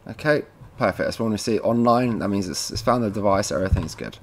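A man presenting a casual, informal step-by-step software tutorial, talking to viewers like mates as he works at a computer. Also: lowish voice, fast talker. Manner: informal, addresses viewers as guys.

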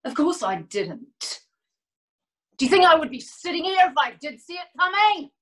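Woman acting a distraught, high-voiced, slow-speaking woman. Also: expressive, a bit bright, full character voice. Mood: anguished, bitter. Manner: halting, with long pauses and repeated phrases. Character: distraught, bewildered woman whose life has suddenly fallen apart. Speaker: monologue performer. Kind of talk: dramatic stage monologue.

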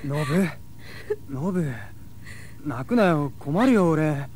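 A man playing a blunt, earnest teenage boy with rough boyish slang in an audio drama. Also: a little high, animated, slow pace, darkish tone, a little hiss or hum. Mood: awkward. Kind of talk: anime-style audio drama acting.

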